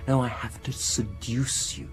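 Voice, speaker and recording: An actor playing a smooth-talking, insistent British dentist in a radio horror drama, deliberate and persuasive, often dropping into near-whispers. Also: male voice, medium pitch, close to an everyday voice. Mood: coaxing, seductive. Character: smooth-talking, insistent male dentist coaxing a frightened patient. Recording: radio drama with music behind.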